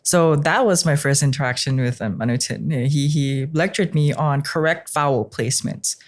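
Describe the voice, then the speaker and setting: A young woman from Singapore, a type designer talking as a podcast guest, chatty and quick to laugh. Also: sits low. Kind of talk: casual podcast conversation.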